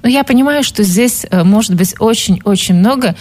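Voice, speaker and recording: A woman hosting a radio talk show in a conversational, gently teasing way with probing questions. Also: mid-range voice, moderate pace. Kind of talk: conversational on-air presenting.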